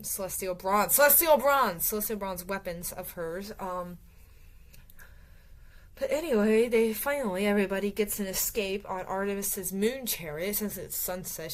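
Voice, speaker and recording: A woman casually recapping a novel for her book review channel, rambling with lots of fillers and restarts, her voice growing tired. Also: medium pitch. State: voice getting tired.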